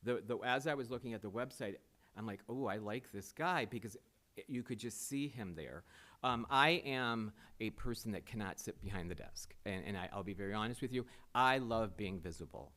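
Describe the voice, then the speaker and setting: Man, low voice, earnest and rambling, job interview before a school board. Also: moderate pace, fairly bright tone. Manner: earnest, rambling with fillers and restarts. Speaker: superintendent candidate. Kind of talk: answering interview questions. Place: school board meeting room.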